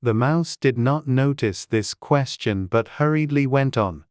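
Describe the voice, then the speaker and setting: A man narrating a classic children's story in a gentle, even storytelling manner. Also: mid-range voice, measured pace, dry recording, studio quality.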